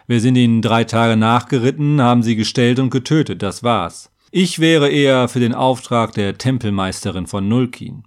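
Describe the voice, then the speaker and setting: A man's voice: mid-range voice, moderate pace, hardly any pauses, natural voice. Man playing a cocky, blunt fantasy warrior. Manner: offhand, blunt storytelling. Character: cocky muscular elite warrior, womanizer, blunt and casual.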